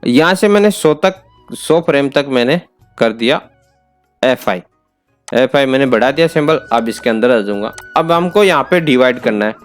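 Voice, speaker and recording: A man with an Indian accent hosting an informal step-by-step software tutorial, instructing and repeating key points. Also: medium pitch, animated, moderate pace, faint music behind. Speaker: tutorial host.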